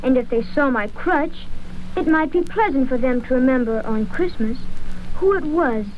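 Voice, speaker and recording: A woman's voice: high voice, expressive, moderate pace. A woman playing a sweet, pious, frail little boy with an innocent, earnest manner in a 1930s-style radio drama. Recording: muffled, noisy old radio recording.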